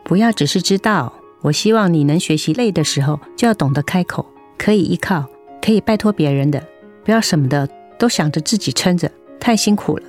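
An actress playing a caring, firm older-sister nurse, gently admonishing in Taiwanese Mandarin, in a radio drama. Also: female voice, fairly low pitch, expressive, fairly quick, faint music behind, close to an everyday voice. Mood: earnest, caring.

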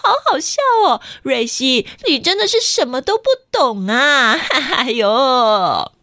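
A woman's voice: sits high, moderate pace, fairly bright tone, no room sound, voice pushed up. A woman voicing a chatty, gushing female blue bird auntie who exclaims and laughs a lot while teasing. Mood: laughing teasing.

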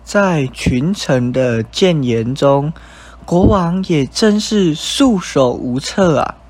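A man's voice: a little high, animated, slow pace, darkish tone. A young man with a Taiwanese Mandarin accent reading scripted narration for a radio drama. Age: young adult. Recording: music bed behind narration.

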